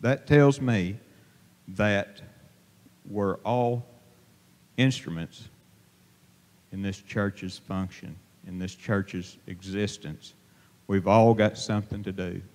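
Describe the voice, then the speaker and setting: A middle-aged man preaching an informal sermon from a pulpit, plain-spoken and halting, with many fillers and restarts. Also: fairly low pitch, darkish tone. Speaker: lay preacher. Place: church sanctuary, at a pulpit. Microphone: handheld microphone held to mouth. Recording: long reverb of a church hall.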